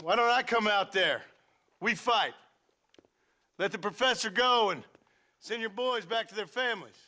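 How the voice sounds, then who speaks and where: An actor playing a calm, wry male gunslinger who speaks in an understated way. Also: high voice, normal speed.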